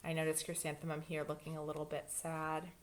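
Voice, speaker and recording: A woman reading a children's story aloud in an animated, emphatic way, drawing out words for young listeners. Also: sits low, brisk. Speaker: children's read-aloud host. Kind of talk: expressive storybook reading with asides.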